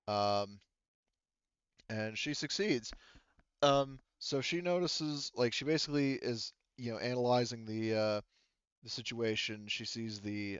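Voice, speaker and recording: A man improvising narration as game master in a conversational way, halting as he searches for words and casually profane. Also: mid-range voice, clear, resonant tone, no echo, highs cut off. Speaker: game master of a tabletop roleplaying game.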